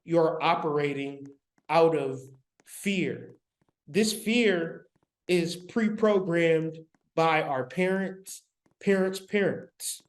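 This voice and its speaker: A man's voice: on the high side, drawn-out words. A man giving a live-streamed spiritual lecture. He talks in an emphatic, repetitive, colloquial way, straight to his viewers, on a laptop mic. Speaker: spiritual teacher.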